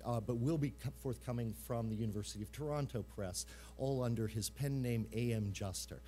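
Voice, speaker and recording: Man, emcee, mid-pitched, halting informal introductions, live event, faint music behind. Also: mellow. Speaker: master of ceremonies.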